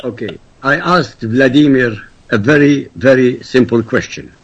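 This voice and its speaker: A man's voice: medium pitch, unhurried, slightly rough, dull audio. A man from Iraq, a native Arabic speaker, debating politics in a blunt, boastful and emphatic manner. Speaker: panel guest.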